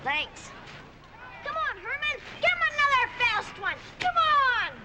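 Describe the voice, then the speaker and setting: An eager, scrappy little boy in a 1940s film. The voice is very high and swings up and down, with loud outdoor noise behind it on an old soundtrack. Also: very animated, measured pace, exaggerated voice. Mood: excited cheering. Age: child. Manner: eager, boyish, plain-spoken. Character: scrappy, eager young boy who loves baseball.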